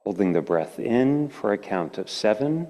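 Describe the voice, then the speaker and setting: A man leading a guided meditation as a teacher, speaking in gentle, slow phrases with long pauses. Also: fairly low pitch, expressive. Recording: reverberant hall.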